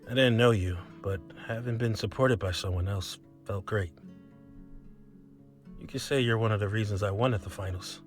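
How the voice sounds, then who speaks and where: A man plays a young male love interest in a dating game. He is a calm, earnest programmer with a low voice, speaking gently and with composure over music. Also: normal speed, close to an everyday voice. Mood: gentle, sincere. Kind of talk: otome game voice acting.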